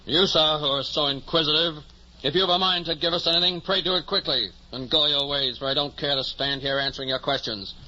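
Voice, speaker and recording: An actor playing a witty, proud, defiant convict in a 1950s radio drama. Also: male voice, a little high, fairly even intonation, a little fast, sharp tone. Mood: insolent, boastful. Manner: defiant, impatient, boastful. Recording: muffled, hissy old radio recording.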